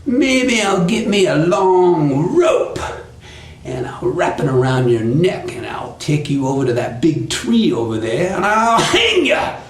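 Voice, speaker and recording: A man's voice: a little high, animated, unhurried, a little mellow, echoey room. A man voicing a sly, gloating fox in a folk tale, drawling and taunting as he thinks aloud. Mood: menacing, scheming. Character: sly, gloating fox savoring his catch.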